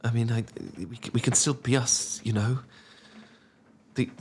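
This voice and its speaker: A British man playing a shy, tender young man in an intimate ASMR boyfriend roleplay, speaking softly and haltingly with stammers and gentle teasing. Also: medium pitch, steady tone, slight background noise, natural voice. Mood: shy, relieved. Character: shy, tender young man confessing love to old friend. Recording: clear background ambience of rain. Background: rain ambience.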